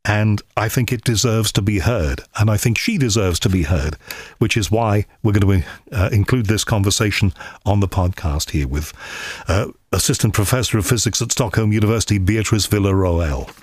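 A man hosting a podcast, chatty and enthusiastic, filling pauses with frequent uhs as he introduces his guest. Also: lowish voice, breathy edge, no echo. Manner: chatty, enthusiastic, many ums. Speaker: podcast host. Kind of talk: scripted podcast introduction and interview. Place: cold room at home.

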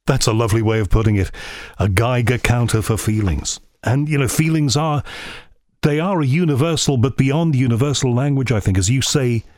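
Measured male interviewer, dry sound. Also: medium pitch, a little mellow, no echo. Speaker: interviewer, host. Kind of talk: interview questioning.

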